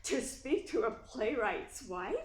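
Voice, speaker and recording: A woman playing a brisk, warm-hearted, easily exasperated playwright's wife in a radio play, with emphatic delivery. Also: fairly high pitch. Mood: brisk, curious. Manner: animated, emphatic. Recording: echoey room, faint noise.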